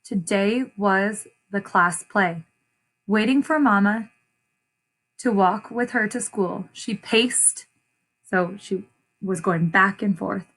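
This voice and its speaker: A woman reading a picture book aloud like a teacher, deliberate and expressive, pausing to explain and repeat phrases for young listeners. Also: mid-range voice, low-quality recording. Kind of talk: children's read-aloud with short explanations.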